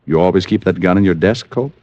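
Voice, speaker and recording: An actor playing a shrewd, persistent private detective in an old radio drama, on a muffled recording. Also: male voice, low-pitched, fast talker, a little mellow, lightly acted. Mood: probing, composed.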